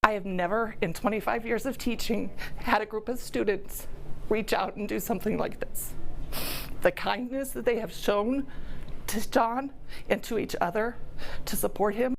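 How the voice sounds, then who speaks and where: A middle-aged female teacher with a gravelly edge speaks emphatically. She is interviewed outside, with a faint wash of ambience behind her. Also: medium pitch, moderate pace, a little hiss or hum, poor audio.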